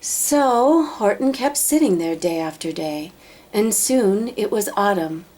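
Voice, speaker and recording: A woman reading a children's storybook aloud, narrating in a dramatic, deliberate way. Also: medium pitch, expressive, a little mellow, slight background noise. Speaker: storybook reader.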